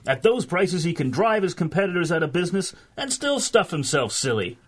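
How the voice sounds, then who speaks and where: A man's voice: high voice, very animated, a little fast, fairly bright tone, exaggerated voice. An actor playing an eager young con-man sidekick in a 1930s-style radio drama.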